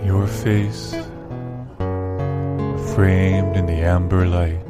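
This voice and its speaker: A man performs a melancholy, lovelorn male astronaut in a comic audio drama. His deep voice moves very slowly and solemnly, pausing between poetic phrases, while plucked guitar plays prominently behind him. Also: level tone. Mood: wistful. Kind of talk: slow dramatic monologue over guitar, audio theatre. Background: loud plucked guitar music.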